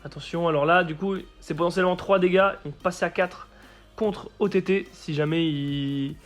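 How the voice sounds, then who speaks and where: A man with a hoarse voice from a cold, commentating a card game match he played and explaining each play step by step. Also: fairly high pitch, moderate pace, faint music behind. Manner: explains plays step by step with frequent fillers.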